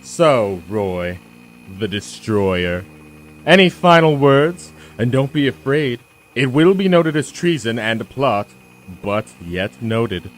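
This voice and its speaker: A man's voice: mid-range voice, animated, a little hiss or hum. An actor playing a grandiose, taunting male villain in an audio drama, drawing out his words with menace. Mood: gloating. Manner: theatrical, taunting, drawn-out delivery.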